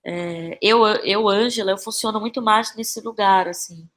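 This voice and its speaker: A woman with a Brazilian accent and a mid-pitched voice talks in a casual, reflective interview style. She feels her way through sentences, repeating phrases and often checking in with short tag questions. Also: a bit bright. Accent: Brazilian Portuguese. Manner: halting, searching for words, repeats phrases, frequent tag questions. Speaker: playwright and actress, podcast guest.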